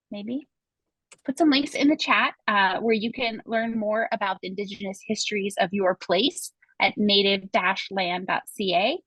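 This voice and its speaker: A woman moderating an online panel, reading a measured, prepared introduction over a video call. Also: mid-range voice, fairly bright tone, breathy edge, dry recording, rough recording. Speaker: moderator and museum director.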